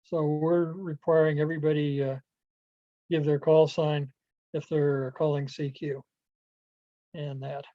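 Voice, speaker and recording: A man giving an informal online presentation to club members, explaining things in a halting, rambling way over a video call. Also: a little high, level tone, no room sound. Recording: muffled lo-fi video call audio.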